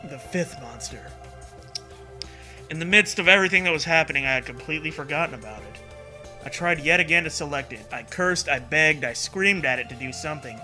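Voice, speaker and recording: Bright-voiced man narrating melodramatically. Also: a little high, animated, brisk, faint music behind. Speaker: narrator and host of a comedic story reading. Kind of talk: dramatic scripted narration of a creepypasta.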